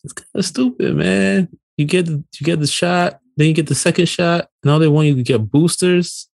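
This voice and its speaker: A man's voice: fairly high pitch, moderate pace, gravelly, darkish tone, no room sound, studio quality. A man in his late thirties from Barbados, co-hosting a podcast in casual conversation. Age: late 30s. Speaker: podcast co-host.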